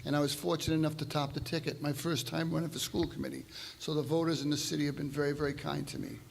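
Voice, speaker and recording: An older man, the incumbent mayor, giving a steady and measured candidate speech at a forum. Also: a little high, creaky, slight background noise. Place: church hall forum. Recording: long hall reverb.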